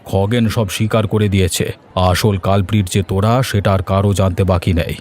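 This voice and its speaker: A man's voice: deep voice, fairly even intonation, moderate pace, darkish tone. A man voicing a blunt, authoritative village headman in a cartoon. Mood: stern, scolding. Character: stern, bossy male village headman who loves food. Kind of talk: Bengali cartoon voice acting.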